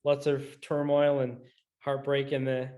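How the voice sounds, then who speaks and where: A middle-aged man from Scotland who moved to the US as a teenager, answering interview questions conversationally in a measured way with frequent pauses. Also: medium pitch, completely flat delivery, a little mellow. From Scotland, moved to the US in high school. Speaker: guest, orthopedic surgeon.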